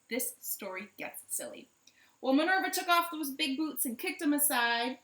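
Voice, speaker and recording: A woman's voice: on the high side, fairly bright tone. A woman hosting a children's storytime, telling stories playfully and putting questions to her young listeners, recorded in a living room. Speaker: library storytime host. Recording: roomy home echo.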